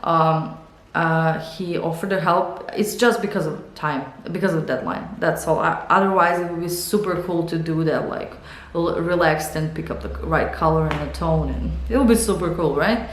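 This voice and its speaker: Low-voiced woman with a Serbian accent. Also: moderate pace, reverberant, slight background noise. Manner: rambling, hesitant, many fillers and restarts. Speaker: illustrator and author. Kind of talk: casual studio vlog, thinking out loud. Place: home art studio.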